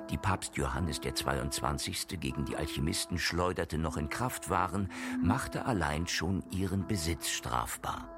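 A man's voice: deep voice, exaggerated voice. A man delivering scripted, essay-like radio drama narration in a formal, rhetorical manner. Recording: music playing behind.

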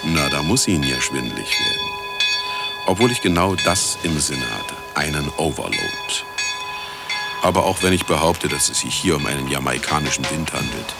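A man's voice: a bass voice, animated, normal speed, vocal fry, over loud music, heavily acted. An actor playing a sardonic, grandiose radio broadcaster in a radio play, theatrical and mocking as he lectures his listeners. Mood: sly, showy.

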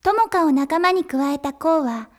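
A female anime storyteller with a high voice. She narrates in a measured, polite way. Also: expressive, a little hiss or hum.

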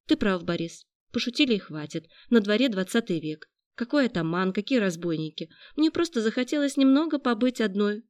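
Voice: medium pitch, lively intonation, fairly quick, hardly any pauses, darkish tone, no room sound, pitched above the performer's own voice, close to an everyday voice. Brief: dreamy, stubborn young woman, lifted voice, dry studio read, put on by the narrator. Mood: calm.

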